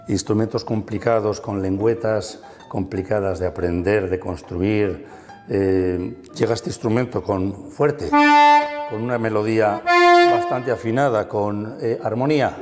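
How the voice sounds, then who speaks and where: A man's voice: lowish voice, darkish tone, in a large hall. A middle-aged man guiding an interview through a museum, enthusiastic and full of fillers and tag questions. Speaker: musician and museum guide. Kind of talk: explanatory interview chat. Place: museum room.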